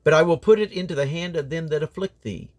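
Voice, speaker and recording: Male Bible reader, somewhat high pitch, solemn delivery, declamatory, deliberate short phrases. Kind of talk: solemn scripture reading aloud.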